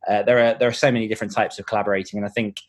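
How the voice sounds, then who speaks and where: A man's voice: fairly low pitch, flat delivery, very fast. A man chatting casually as a guest author in a podcast interview.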